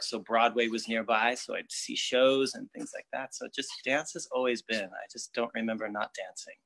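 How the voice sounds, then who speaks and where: A man from Brooklyn chats on a video call, easygoing and talkative. His voice sits at an ordinary pitch. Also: a bit bright, low-quality recording. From Brooklyn, New York. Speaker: choreographer. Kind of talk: casual chat.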